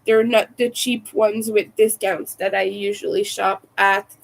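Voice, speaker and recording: Sick woman chatting on a livestream, kinda drained, raspy croaky voice, talks slow and soft. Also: mid-range voice, level tone. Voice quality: raspy from vocal cord damage. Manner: hesitant, soft-spoken. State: sick with covid, low energy. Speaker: livestream host, crafter. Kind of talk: casual livestream chat.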